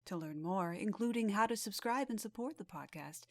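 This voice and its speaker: A woman narrating scripted fiction in a measured, earnest manner, as a podcast storyteller. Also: medium pitch, expressive, no room sound. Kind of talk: scripted fiction narration, mock advice column.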